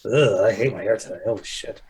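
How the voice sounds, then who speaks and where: A man's voice: lowish voice, brisk, crowd noise. A man chatting casually, self-deprecating and full of swearing. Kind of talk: casual chat.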